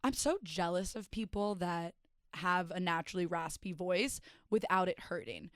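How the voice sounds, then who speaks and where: A young woman hosting her own podcast and rambling in a self-mocking, chatty way. Her voice is hoarse and raspy after days of partying. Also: fairly low pitch, moderate pace, dry recording, clean studio sound. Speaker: podcast host and stand-up comedian. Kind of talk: casual solo podcast chat.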